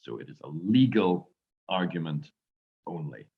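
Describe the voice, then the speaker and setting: A man with an Irish accent, a law lecturer and barrister, calmly answering students' questions in an online lecture. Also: low voice, measured pace, gravelly, slightly rough. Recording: dull lo-fi audio.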